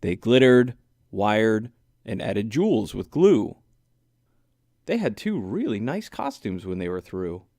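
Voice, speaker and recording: A man narrating a rhyming children's story aloud with expressive, deliberate phrasing. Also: on the low side, dry recording.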